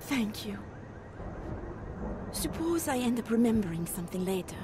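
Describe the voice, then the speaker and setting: A wary, formal witness. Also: female voice, a little high, big pitch swings, normal speed, noisy background. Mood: guarded. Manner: formal, precise, guarded. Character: wary, frightened woman witness with a formal manner. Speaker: game character. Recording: game cutscene with loud music.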